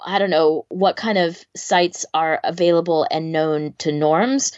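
Woman, deep register, casual podcast co-host, hedging and reflective delivery, somewhat muffled audio. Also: unhurried, a little mellow. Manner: tentative, thinking aloud with hedges. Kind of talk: casual discussion.

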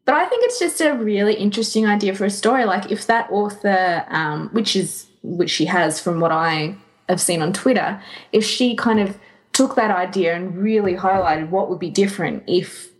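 Female podcast co-host, medium pitch, informal and conversational, casual fan discussion. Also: normal speed.

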